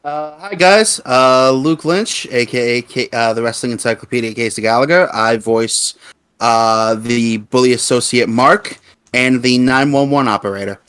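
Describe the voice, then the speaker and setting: Male guest voice actor, medium pitch, smooth resonant tone, casual chat. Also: normal speed, fairly bright tone.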